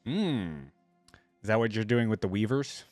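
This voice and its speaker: Guy doing a casual reaction, teasing, pitch jumps around, close dry mic w faint music. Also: expressive, moderate pace, darkish tone, dry recording. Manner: wry, teasing asides to what he hears. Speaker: reaction video host.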